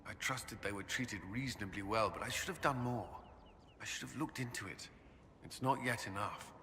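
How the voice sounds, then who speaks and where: A burdened, dutiful commander in a fantasy video game. His voice is fairly low yet forward and bright, with formal phrasing. It carries in a reverberant hall. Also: moderate pace, slight background noise. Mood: remorseful, resolute. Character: earnest, guarded military commander, burdened and dutiful.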